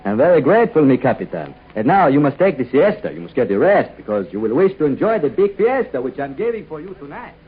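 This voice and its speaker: An actor playing a courteous, middle-aged Mexican gentleman with a Mexican accent and formal, gracious manner in a 1940s radio serial. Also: a little high, sing-song, quick pace, few pauses, slightly rough, exaggerated voice. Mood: grateful. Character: courteous, generous Mexican gentleman host, hacienda owner. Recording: muffled old radio recording, faint crowd.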